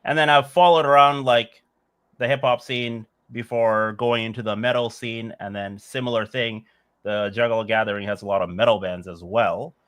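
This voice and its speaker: A man in his forties from Bangladesh, speaking at a medium pitch for a man. He answers casual interview questions thoughtfully. He meanders, leaning on "like" and "uh". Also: fairly even intonation, normal speed, a bit bright. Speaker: musician guest.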